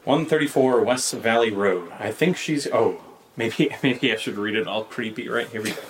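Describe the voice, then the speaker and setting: A man's voice: medium pitch, moderate pace, close to an everyday voice. A man playing a joking, skeptical friend with a sarcastic, teasing manner in a casual audio drama. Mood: amused, then mock-creepy hushed. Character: joking, skeptical buddy visiting his friend. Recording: faint background noise.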